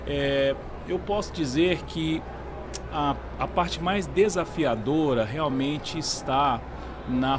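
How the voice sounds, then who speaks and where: Middle-aged man, noisy fair interview. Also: on the high side. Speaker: interview guest, real estate executive. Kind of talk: conversational podcast interview. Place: busy trade fair stand. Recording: clear urban ambient noise at trade fair.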